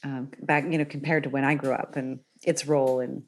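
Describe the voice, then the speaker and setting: A woman's voice: a bass voice, little pitch movement, fairly quick. A middle-aged woman from Northern Virginia, chatting enthusiastically as a podcast guest and laughing easily. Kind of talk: casual conversation.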